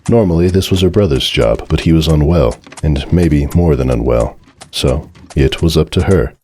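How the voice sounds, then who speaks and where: A man's voice: a bass voice, darkish tone, slight background noise. A man reading a scripted story as narrator, in a dramatic storytelling style.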